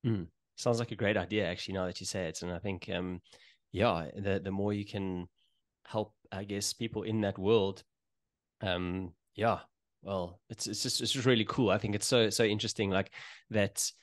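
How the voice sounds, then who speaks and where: A man's voice: on the low side, fairly even intonation, normal speed, no room sound, clean studio sound. A man in his forties hosting a casual video interview from a small home room, hesitant and full of fillers and restarts. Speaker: podcast host. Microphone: studio mic on desk, headphones.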